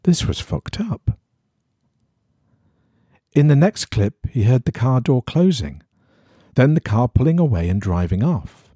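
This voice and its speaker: Man, horror audiobook narration, mellow husky tone, swooping intonation, measured suspense. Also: mid-range voice, very animated, no echo, clean studio sound. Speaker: story reader. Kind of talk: scripted narration of an eerie horror story.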